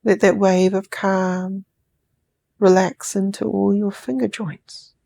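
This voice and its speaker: A woman with a New Zealand accent guiding a sleep hypnosis session, speaking in slow, soothing, drawn-out phrases that sometimes drop to a whisper. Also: medium pitch, little pitch movement, vocal fry, mellow.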